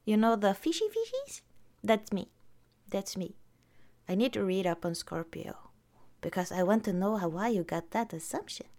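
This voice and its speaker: Norwegian girl reading comments, talks real slow, giggles a lot, soft voice. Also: medium pitch. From Norway. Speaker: vlogger.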